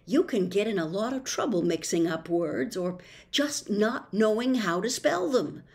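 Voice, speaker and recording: A woman's voice: mid-range voice, expressive, unhurried, darkish tone. Woman reading as an earnest, timid young boy.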